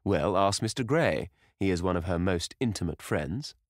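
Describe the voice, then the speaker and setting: A drawling, worldly gentleman of leisure, read by a man in a deep, dry voice. He tosses off barbed witticisms with lazy irony, as in a classic novel read aloud. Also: expressive, normal speed, no echo. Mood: ironic. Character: languid, witty aristocratic gentleman.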